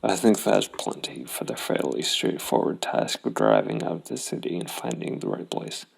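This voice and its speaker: A man's voice: rumbling low, big pitch swings, moderate pace, creaky, slightly rough. A man narrating a solo tabletop role-playing game, thinking aloud and reasoning things out. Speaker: solo RPG player and host.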